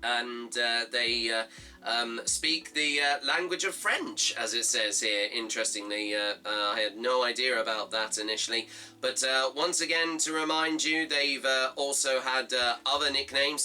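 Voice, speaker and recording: Slow, sharp-toned, slightly hoarse man host. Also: medium pitch, fairly even intonation, faint music behind. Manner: halting, heavy with fillers, reads off notes. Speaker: host of a fan football show. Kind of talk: reading results and previewing a match.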